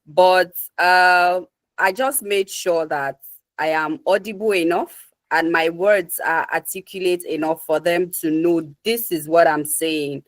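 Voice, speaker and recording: Slow, deliberate Nigerian woman. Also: lowish voice, fairly even intonation, no room sound, poor audio. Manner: slow, deliberate, articulate speech. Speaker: guest associate. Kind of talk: Q&A answer.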